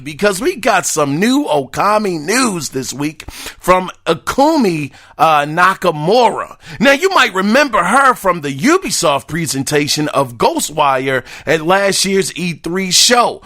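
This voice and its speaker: A man hosting a casual gaming news podcast, talking loosely to his listeners with a hoarse, raspy voice. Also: high voice, very animated, a little slow, sharp tone. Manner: loose, chatty, addresses listeners as brothers and y'all. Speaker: podcast host.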